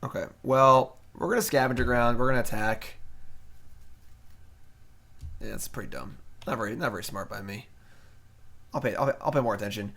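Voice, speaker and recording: A man's voice: medium pitch, moderate pace, gravelly, pauses often, fairly bright tone. Offhand man thinking aloud mid-game. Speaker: streamer playing a card game. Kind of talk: casual live gameplay commentary.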